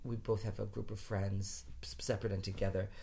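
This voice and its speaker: A man's voice: fairly low pitch, flat delivery, fairly quick, vocal fry, dark tone, slight background noise. A man from Rhode Island in casual conversation, making his points emphatically and often repeating phrases as he builds an argument.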